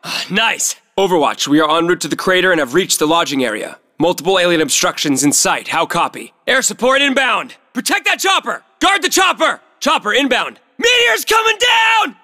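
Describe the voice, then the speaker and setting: An actor playing a tough squad soldier in a military shooter game, giving urgent, clipped battlefield callouts. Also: male voice, high-pitched, big pitch swings, normal speed, sharp tone, shouting, in a large hall, full character voice. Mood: tense, watchful, then commanding. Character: tough male soldier on a squad fighting aliens.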